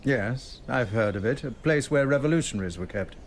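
Shrewd, animated Roman official played by a man. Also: medium pitch, fairly quick, slight background noise, natural voice. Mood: probing. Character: shrewd, commanding male Roman tribune. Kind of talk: radio drama acting.

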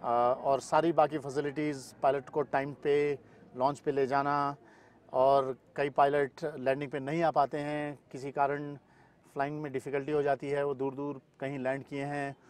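A man's voice: mid-range voice, level tone, moderate pace. A middle-aged man answering interview questions outdoors, mixing in English terms. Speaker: paragliding pilot and event weather expert, interview guest. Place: open grassy field in the mountains. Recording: clear outdoor ambient noise.

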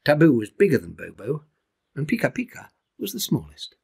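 A man in his seventies reads a children's story aloud. His husky voice swings widely in pitch, with a theatrical storyteller's delivery. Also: fairly quick. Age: 70s. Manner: theatrical, animated delivery with hushed asides. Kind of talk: expressive storybook reading. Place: indoors, small timber-beamed room at home.

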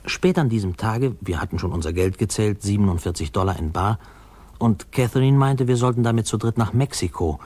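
Low husky guy looking back on his boyhood, wry musing storyteller, old radio play. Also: animated, fairly quick, darkish tone, no echo. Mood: wry, reminiscing. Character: grown man wryly recalling his boyhood, reflective. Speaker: narrator.

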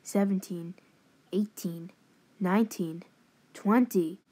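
Woman voicing a stern, slow-paced schoolteacher game character. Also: lowish voice, mellow, breathy edge. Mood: counting. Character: strict schoolteacher game character, easily angered. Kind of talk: custom video game voicelines.

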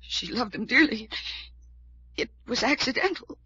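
An actress playing a distraught young woman convinced she is doomed, speaking in a halting, melodramatic way in an old-time radio drama. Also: fairly high pitch, animated, sharp tone, breathy edge, dry recording, heavily acted. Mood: haunted, anguished. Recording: dull vintage radio recording.

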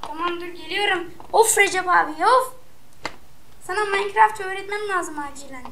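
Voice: extremely high pitch, moderate pace, vocal fry, bright, forceful tone. A child gamer chatting casually with a co-player during gameplay, on a noisy mic with clicks.

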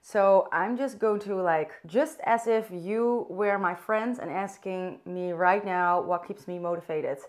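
A young woman from the Netherlands with a Dutch accent, speaking English as a second language in a soft, thoughtful personal vlog, pausing to search for words. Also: medium pitch. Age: young adult. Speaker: vlogger. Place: bright room indoors by a window.

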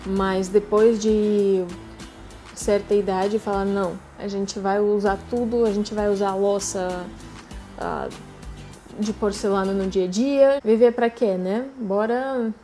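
A woman in her thirties from Russia, speaking with a Russian accent in a chatty, animated vlog, talking to camera from her sofa at home. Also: medium pitch, slow pace, faint music behind. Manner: chatty, animated, laughing asides. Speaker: vlogger. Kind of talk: casual home-tour vlog. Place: living room on a sofa, indoors.

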